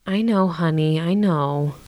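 A woman acting a patient, sensible mother who comforts with gentle firmness in a modern audio drama. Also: low voice, a little slow, slight background noise. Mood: sympathetic. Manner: soothing, reassuring, gently firm.

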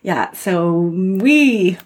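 A woman's voice: mid-range voice, lively intonation, very slow, a little mellow. A woman, an actor guest, telling rambling stories about growing up in Alberta. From Alberta, born in Australia. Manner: rambling storyteller with restarts and laughs. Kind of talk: interview.

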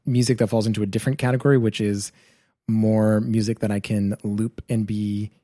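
A man hosting a podcast, thoughtful and unhurried as he talks his ideas through. His voice is mellow and dark, and the close mic sounds dry, with no room around it. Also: on the low side, flat delivery, no room sound. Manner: thoughtful, works through questions aloud. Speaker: podcast host. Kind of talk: casual chat.

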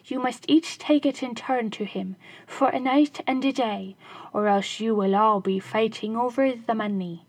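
A clever little shoemaker, sly and pleading by turns, as a woman reading a fairy tale puts him on. His voice is gravelly and husky, close and dry. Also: a little high, unhurried, a little mellow, dry recording, poor audio. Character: crafty little male shoemaker, clever and spirited.

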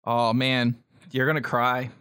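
A man's voice: medium pitch, flat delivery, a little slow, pushed and bright, clear, resonant tone, no room sound, clean studio sound. A man co-hosting a podcast in casual chat, explaining and prompting his partner, often checking in as he goes. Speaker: podcast co-host.

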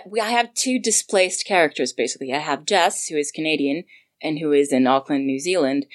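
Low-voiced Swedish-born woman, laughing guest. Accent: North American-sounding English learned at international school. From Sweden. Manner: laughs often, animated, many fillers. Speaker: audio drama writer and actor, guest. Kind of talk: casual interview chat.

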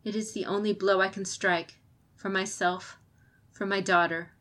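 A woman reading the lines of a hesitant, ashamed female noble in an audiobook, softly and regretfully. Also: mid-range voice, fairly even intonation, normal speed, close to an everyday voice. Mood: sorrowful.

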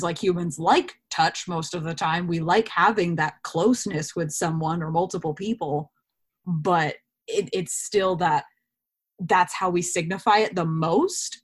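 A woman's voice: fairly low pitch, husky. A woman talking casually in an interview, acting out imagined quotes as she explains things. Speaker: interview guest, actor.

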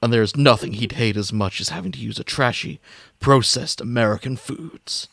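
A man's voice: medium pitch, moderate pace, gravelly, a bit bright, dry recording, clean studio sound. A man voicing a self-assured, stubborn police investigator with a terse, over-serious intensity, for a comic reading. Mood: intense, determined. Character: stubborn, self-assured male criminal investigator, hard-boiled cop.

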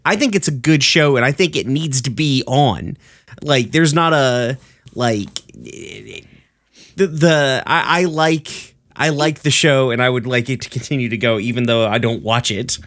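A man's voice: medium pitch, unhurried, a bit bright. A man hosting a podcast in casual, joking chat, loose and a bit sweary. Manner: laid-back, joking, sweary. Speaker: podcast host.

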